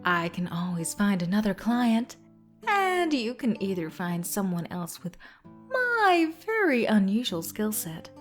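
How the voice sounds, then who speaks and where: Audio drama: actress as a no-nonsense ghost-hunting woman, expressive. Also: mid-range voice, measured pace, faint music behind. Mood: challenging. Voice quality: blunt, dry, confident. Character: cool-headed ghost-hunting woman, adult. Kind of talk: fan audio drama acting.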